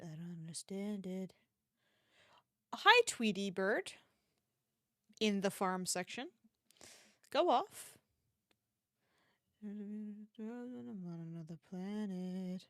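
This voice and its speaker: A woman's voice: medium pitch, no echo, clean studio sound. A woman livestreamer chatting casually and reacting to an online shop with playful, mock-dramatic exclamations.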